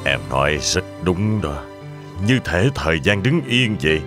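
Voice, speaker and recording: A man playing a gentle, agreeable old husband in a children's cartoon. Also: deep voice, sing-song, a little slow. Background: children's music.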